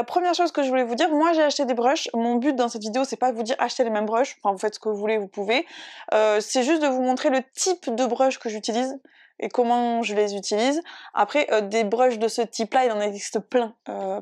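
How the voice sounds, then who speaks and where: A woman's voice: a little high, fairly even intonation, fast talker, darkish tone, no echo, clean studio sound. A young woman illustrator giving a casual drawing tutorial, chatty and self-deprecating, with many hesitations. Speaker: digital illustrator.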